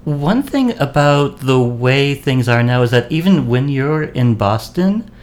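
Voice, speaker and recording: Casting: unhurried man from Maine, rambling podcast chat, mid-pitched voice. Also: big pitch swings, darkish tone. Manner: unhurried, rambling with fillers and laughs. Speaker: podcast guest host. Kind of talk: casual chat.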